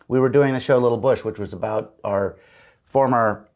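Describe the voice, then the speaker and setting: A middle-aged man with a voice of ordinary pitch talks casually as an interview guest. He tells stories with energy, restarts his sentences often and acts out the lines he quotes. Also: creaky, dull audio. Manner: animated storyteller, quick restarts and acted-out quotes. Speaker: guest, TV comedy writer.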